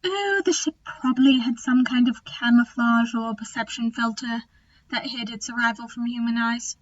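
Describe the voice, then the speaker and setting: A woman's voice: a little high, fairly even intonation, normal speed, muffled recording, natural voice. A woman playing a clever, resolute alien Time Lord who looks thirty, composed and explanatory, in a fan audio drama. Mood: focused, matter-of-fact.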